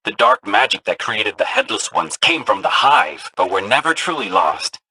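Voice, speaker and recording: A man voicing an excitable robot ghost companion in a video game, eager and theatrical as he tells spooky legends. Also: on the low side, normal speed, creaky, breathy edge, dry recording, full character voice, low-quality recording. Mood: spooky storytelling.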